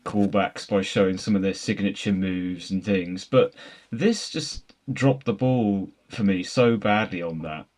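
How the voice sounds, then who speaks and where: A man's voice: fairly low pitch, unhurried, hardly any pauses, darkish tone. A middle-aged man hosting a casual podcast discussion of films and games, talking in a wordy, meandering way. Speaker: podcast host. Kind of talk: casual film and game discussion.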